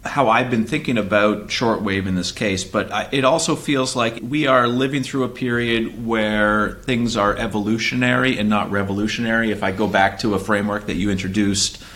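A man's voice: lowish voice, fairly even intonation, moderate pace, few pauses, a bit bright. A man co-hosting a podcast in casual conversation, musing tentatively and often posing questions. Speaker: podcast co-host, venture capitalist. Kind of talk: casual chat.

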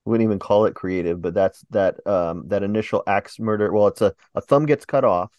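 A man's voice: on the low side, a little fast, a little mellow, no echo, dull audio. A man with a Southern American accent co-hosting a movie podcast, speaking hesitantly with many ums. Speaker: podcast co-host. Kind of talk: casual chat.

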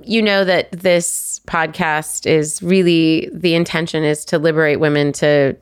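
Low-voiced woman podcast host. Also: smooth. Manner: earnest, measured, affirming. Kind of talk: podcast interview and outro.

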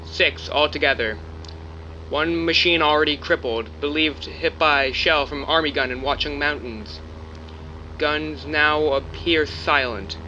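A tense, sharp male bomber pilot, played by a man reports formally in a radio drama. The sound is dull and lo-fi, with a faint engine drone behind the clipped phrases. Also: a little high, a little hiss or hum.

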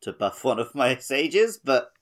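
A man's voice: medium pitch, big pitch swings, measured pace, a bit bright. A man co-hosting a podcast, chatting casually about a video game. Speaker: podcast co-host. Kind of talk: casual gaming chat.

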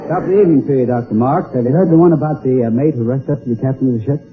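A man's voice: mid-range voice, rapid-fire, noisy background. A man as a quiz contestant, giving hesitant, good-humored, wordy improvised sales patter and answers. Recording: tinny old radio broadcast, loud audience.

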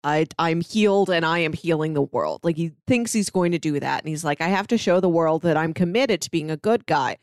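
A woman's voice: sits low, a little fast, few pauses, a little mellow, no room sound, clean studio sound. A woman co-hosting a podcast, chatting casually and retelling scenes by voicing what the characters think. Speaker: podcast co-host. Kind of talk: casual comic book review chat.

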